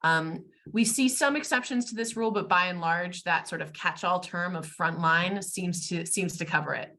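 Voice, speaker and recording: An American woman, a guest expert in a webinar interview, talking fluently in a polished and warm way. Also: fairly low pitch, moderate pace. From United States. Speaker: guest, tech company expert.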